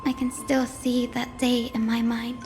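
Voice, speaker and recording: A woman voicing a gentle, reflective young female scholar in a game, in a long reverb with music behind. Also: fairly high pitch, level tone, a little slow, lightly acted. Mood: wistful. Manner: soft-hearted, reflective. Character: gentle young female scholar, wistful descendant of sky people. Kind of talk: scripted game voice acting.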